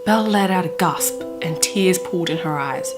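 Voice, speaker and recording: A woman in her twenties talking casually and dramatically to camera in a bedroom, gushing with drawn-out emphasis. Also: on the low side, over loud music, poor audio. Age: 20s. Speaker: book vlogger. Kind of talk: casual chatty vlog with dramatic reading aloud. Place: small bedroom, indoors.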